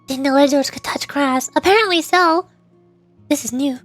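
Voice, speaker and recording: A woman game streamer with a high-pitched voice. She gives playful, chatty commentary while faint game music plays behind her. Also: moderate pace. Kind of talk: gameplay commentary with live voice-over.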